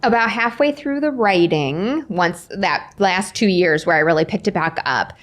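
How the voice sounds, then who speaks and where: A woman's voice: fairly low pitch, normal speed. A woman in her forties chatting casually as an interview guest, telling stories with acted-out dialogue and self-deprecating humor. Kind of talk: casual conversational storytelling.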